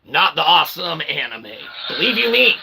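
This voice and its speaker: A man's voice: on the high side, sing-song, moderate pace, creaky, pushed and bright, a little hiss or hum, rough recording. A man giving an informal, rambling explainer in a brash, irreverent, self-deprecating way, often checking in with short tag words. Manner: repeatedly tags phrases with "okay" and "all right". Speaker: independent streamer explaining ideas.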